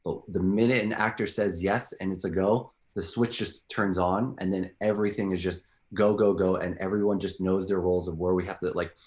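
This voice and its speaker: A very low-pitched man talks loosely as an interview guest, circling back with lots of "like" and restarts. His voice rings in a roomy, echoing space. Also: steady tone, fairly quick, darkish tone. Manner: rambling, filler-heavy, frequent restarts. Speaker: filmmaker guest. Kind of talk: casual interview answers.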